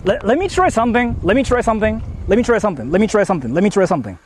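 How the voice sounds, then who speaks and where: A man's voice: sits high, sing-song, racing, a little hiss or hum. A man live-streaming outdoors from a phone, rambling with lots of fillers as he explains his tech setup to chat. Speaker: IRL streamer. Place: outdoors in the countryside, on the move. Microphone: phone held while streaming.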